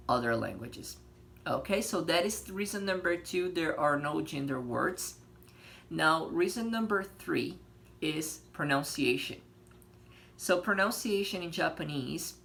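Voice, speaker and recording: Man, gravelly. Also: medium pitch, fairly bright tone, a little hiss or hum. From Brazil. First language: Portuguese. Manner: explains patiently with many fillers and check-ins. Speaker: language teacher. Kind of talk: casual video lesson.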